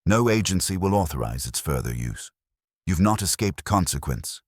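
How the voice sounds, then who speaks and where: A man voicing an imperious, unhurried detective who never leaves his chair, speaking in a cold, precise, commanding way. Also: deep voice, very animated, slightly rough, no room sound, studio quality, heavily acted. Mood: stern.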